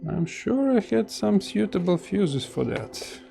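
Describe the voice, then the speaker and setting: A man's voice: sits high, sing-song, creaky, mellow. A man from Ukraine with a Ukrainian accent, musing half to himself as he works on electronics in his home workshop. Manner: slow, musing, mutters to himself while searching. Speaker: electronics hobbyist host. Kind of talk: casual workbench commentary.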